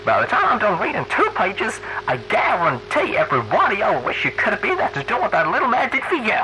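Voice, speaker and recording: A man's voice: sits high, huge pitch swings, brisk, pushed and bright, slight background noise, voice pushed up, exaggerated voice, poor audio. A man voicing an indignant old rustic grandfather pony with a strained voice and an emphatic, cantankerous manner.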